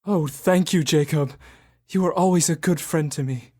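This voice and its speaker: A man's voice: on the high side, moderate pace, darkish tone, natural voice. A cranky old miser in a radio play, voiced by an actor. He scoffs and doubts in a querulous tone, and turns wheedling and pleading when he gets frightened. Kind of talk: radio drama acting.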